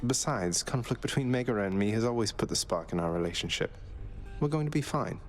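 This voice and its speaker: A man's voice: fairly low pitch, animated, fast, soft background music, natural voice. A man playing a wry, cocky, rebellious young underworld prince in a video game, with a dry, sardonic delivery. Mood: wry, friendly.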